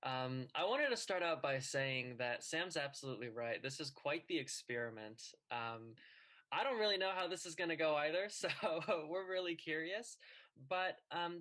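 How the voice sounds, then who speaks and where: A man's voice: mid-range voice, pushed and bright. A young man in his twenties giving an earnest class presentation over a video call, with frequent ums and uhs. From United States. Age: 20s. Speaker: student club president presenting to a class.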